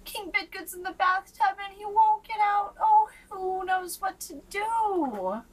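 A woman's voice: very high-pitched, slow, far from the mic, voice pushed up, low-quality recording. A woman voicing a frantic young page boy in a storybook, wailing theatrically for help. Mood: frantic, pleading. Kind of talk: storybook read-aloud.

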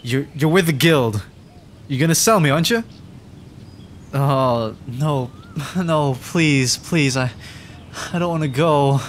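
A man's voice: a little high, takes it slowly, long pauses, slight background noise. Man playing a nervous, stammering young dragon. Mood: panicked, pleading. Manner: stammering, hesitant, rambling. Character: timid, injured young male dragon, nervous and adorkable. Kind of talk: roleplay ASMR audio drama.

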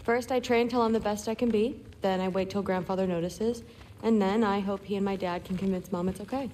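A woman's voice: medium pitch, steady tone, fairly quick, mellow, in a large hall, a little hiss or hum, natural voice, low-quality recording. A young woman playing a friendly, eager, proud teenage girl warrior in training in a video game, talking in a casual, teasing way. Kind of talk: video game cutscene acting.

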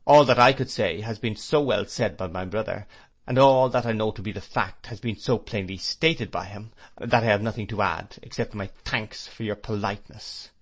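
A man reading an audiobook, voicing a haughty, sarcastic spinster who speaks slowly and sardonically. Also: on the low side. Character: icy, sarcastic middle-aged spinster.

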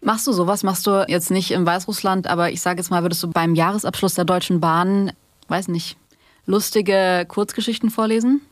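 A woman's voice: lowish voice, fairly even intonation, normal speed, dry recording. A woman interviewing in a casual, colloquial and inquisitive way, as a podcast host.

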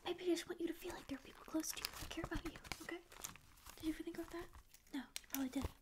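A woman's voice: very high voice, fairly even intonation, racing. A young woman whispering as a prickly sleep paralysis demon who insists she doesn't care but keeps listening and reassuring, close to the mic in a small dim room. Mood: flustered, tender. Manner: whispering, curt denials that soften into reassurance. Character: prickly sleep paralysis demon, secretly caring, faux-apathetic. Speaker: ASMR roleplayer. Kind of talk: whispered ASMR roleplay. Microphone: close studio mic just off-frame.

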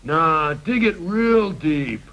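Mean, high-voiced monster puppet. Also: male voice, expressive, slow, sharp tone, exaggerated voice. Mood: bossy, goading. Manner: dismissive, goading. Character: mean, bossy, scheming male monster puppet. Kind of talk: scripted children's educational puppet show. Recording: noisy old 1960s film soundtrack.

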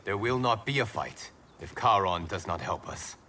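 An actor playing an earnest, anxious warrior monk in a video game. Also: male voice, fairly low pitch, lively intonation, normal speed, a little hiss or hum. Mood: urgent, worried. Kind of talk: dramatic video game cutscene acting. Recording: loud music behind the voice.

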